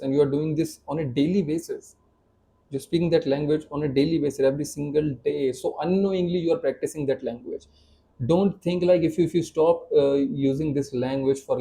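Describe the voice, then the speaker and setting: A man's voice: fairly high pitch, dark tone, slight background noise. A young man with an Indian accent, whose first language is Hindi, giving a casual spoken-English lesson to camera, earnest and full of repeats and fillers. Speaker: English teacher.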